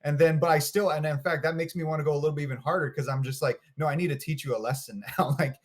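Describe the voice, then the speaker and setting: A man's voice: fairly high pitch, steady tone, quick pace. A man, a community director guest, talking earnestly in a casual chat. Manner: earnest, organized, chatty.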